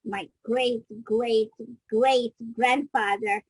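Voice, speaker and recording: Middle-aged woman from Kenya, interview guest, husky, fairly high voice, reflective storytelling, dry close sound. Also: steady tone, extremely slow, darkish tone, no echo. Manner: reflective, restarts sentences mid-thought. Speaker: guest, business coach.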